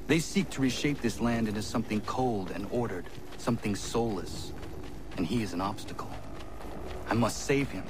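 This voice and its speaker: An actor playing a proud, earnest young assassin in a video game, speaking in formal, stiff, measured phrases. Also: male voice, fairly low pitch, background noise, close to an everyday voice. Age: young adult. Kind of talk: video game cutscene acting. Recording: music behind the voice.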